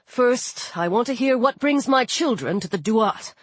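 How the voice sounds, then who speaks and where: A woman's voice: a little high, lively intonation, measured pace, no room sound. A woman voicing a weary, dignified father who rules the underworld, speaking in a firm, dry, formal way.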